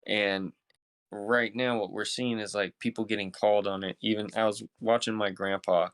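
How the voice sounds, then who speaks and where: A man's voice: fairly low pitch, flat delivery, normal speed, fairly bright tone, clean tone, dry recording. A man hosting a podcast in casual, slangy chat with some swearing and laid-back phrasing. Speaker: podcast host.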